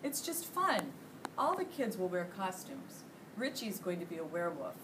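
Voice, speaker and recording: A friendly janitor who likes to joke, read by a woman from a storybook, talks in an animated, teasing American voice. The pitch is raised a little. The recording is distant, with clear noise in the room. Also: moderate pace. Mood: playful. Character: friendly joking male night janitor.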